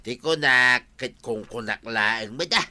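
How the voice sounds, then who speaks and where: A man's voice: mid-range voice, measured pace, sharp tone. An actor playing a mocking, mischievous village man with a Filipino Ilocano accent, drawling and amused, in an audio drama.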